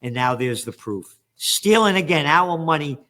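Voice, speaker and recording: An older man reads out news headlines and tears into them with angry, profane sarcasm. His voice sits a little high for a man and swings from low grumbling mutters to loud outbursts. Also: animated, normal speed. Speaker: trends commentator and host. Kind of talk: ranting news commentary read from headlines.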